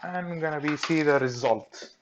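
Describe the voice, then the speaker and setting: A man with a Greek accent, speaking English as a second language, walks through a repair and thinks aloud in halting, simple sentences. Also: fairly high pitch, lo-fi sound, soft background music. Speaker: repair technician. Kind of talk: hands-on repair tutorial. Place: workbench.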